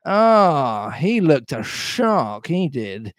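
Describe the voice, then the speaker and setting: A man voicing a wily, blustering pirate sea-cook from a storybook, with drawn-out, emphatic delivery. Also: on the high side, very animated, no echo, clean studio sound, exaggerated voice. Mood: excited, scheming. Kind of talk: storybook read-aloud character voice.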